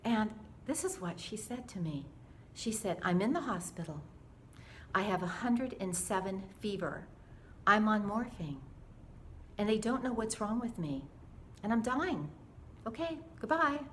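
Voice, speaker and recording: A mature woman telling a personal faith story in an earnest, dramatic, animated way, acting out the dialogue as she goes. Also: on the high side, a little hiss or hum.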